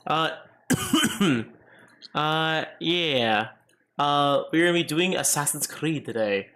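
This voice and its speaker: A sick, slightly hoarse man rambling through casual commentary on a gaming livestream, full of fillers and sudden outbursts. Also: a little high, very animated, takes it slowly, bright, forceful tone.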